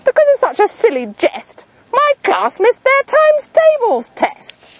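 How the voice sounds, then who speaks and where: Woman acting an excitable, high-voiced fussy schoolteacher. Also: unhurried, a little hiss or hum, heavily acted. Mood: indignant. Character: fussy, excitable schoolteacher scolding and rallying the class.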